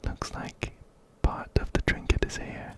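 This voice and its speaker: A man whispering breathily and gently, very close to a binaural microphone, as he narrates a game and reads its text aloud. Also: a little slow. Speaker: ASMR video host. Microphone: close-up binaural microphone, ear to ear.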